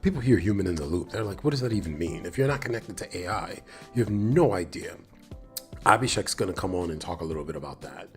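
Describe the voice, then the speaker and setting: A man with a fairly low, slightly nasal voice hosts a casual live stream over music. His intonation swings widely as he chats straight to his viewers. He is enthusiastic and rambles, often doubling back on his phrases. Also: a little mellow. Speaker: live stream host, developer advocate.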